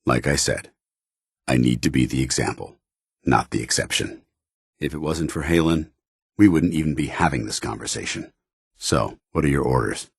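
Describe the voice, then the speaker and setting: An actor playing a stoic, honour-bound android soldier in a video game, tormented yet earnest, with formal military diction. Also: male voice, rumbling low, husky, no room sound, clean studio sound, heavily acted. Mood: grave, resigned. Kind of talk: video game companion dialogue acting.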